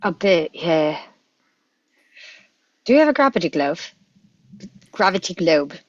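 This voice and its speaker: A woman reading the part of an eccentric, quick-witted male adventurer doctor, wry and musing, in a script read-through. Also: fairly low pitch, big pitch swings, dry recording. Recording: dull lo-fi microphone.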